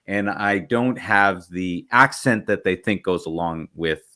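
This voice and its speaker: A man's voice: deep voice, a little slow, sharp tone. A man hosting an interview, asking questions in a hesitant way, with many restarts. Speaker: podcast host, interviewer. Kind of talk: casual interview conversation.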